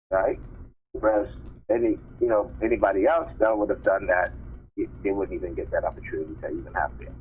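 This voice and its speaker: Male call host, fairly deep, emphatic and informal news commentator, muffled recording. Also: a little hiss or hum. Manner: emphatic, opinionated, often seeks agreement with tag questions. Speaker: live stream call host. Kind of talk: informal commentary on news articles, partly read aloud.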